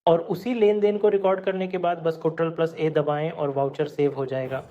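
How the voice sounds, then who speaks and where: A man teaching an accounting software tutorial step by step, often checking in with the learner. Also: a little high, steady tone, moderate pace, lo-fi sound. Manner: explanatory, step by step, frequent "ठीक है?" check-ins. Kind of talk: screen-recorded software tutorial.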